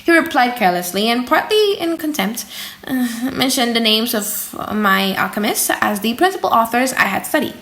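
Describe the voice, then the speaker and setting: High-voiced woman reading dramatically, echoey room. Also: moderate pace. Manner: theatrical, expressive. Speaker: audiobook reader. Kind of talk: dramatic, slightly silly audiobook narration.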